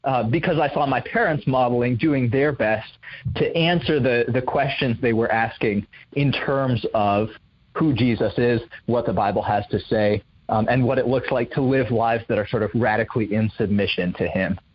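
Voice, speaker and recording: A man's voice: mid-range voice, moderate pace, husky, dull audio. A young man raised in Indonesia, a guest author answering interview questions thoughtfully, with many fillers and restarts. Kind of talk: reflective interview conversation.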